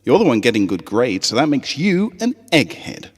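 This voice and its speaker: Storybook tomboy pony, played by a man: young, cheeky, daring, raised lively voice, long reverb. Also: medium pitch, normal speed, pitched above the performer's own voice. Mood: teasing. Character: brash young tomboy filly, teasing, daring pony child.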